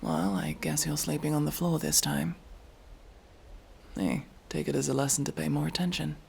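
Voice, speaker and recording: A man playing a guarded but kind armored adventurer in a fantasy roleplay audio drama, gravelly, dry and gently teasing. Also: a little high, moderate pace, a little hiss or hum. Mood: annoyed. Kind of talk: immersive roleplay audio drama, one-sided conversation.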